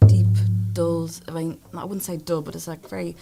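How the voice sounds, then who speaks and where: A woman's voice: lowish voice, flat delivery, moderate pace, creaky, music in the background. A woman, a musician guest talking earnestly in a radio studio. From Ireland. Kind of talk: casual interview chat.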